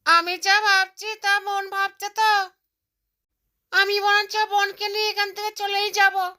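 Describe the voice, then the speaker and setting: A woman's voice: very high voice, little pitch movement, unhurried, pushed and bright, heavily acted. A woman voicing a little cartoon bird with a childlike, chattering manner. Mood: disappointed, resolved. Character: greedy, stingy little mother bird and her chirpy chicks. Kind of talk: cartoon character voice.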